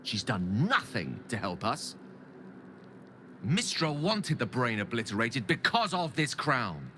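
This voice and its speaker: A man's voice: mid-range voice, sing-song, takes it slowly, sharp tone, a little hiss or hum, full character voice. A man playing an eloquent, ambitious and embittered wizard in a video game, speaking with earnest, theatrical and formal articulation. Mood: bitter, indignant. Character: ambitious, eloquent, embittered male wizard pleading his case. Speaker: video game companion character. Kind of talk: dramatic game dialogue acting. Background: background music.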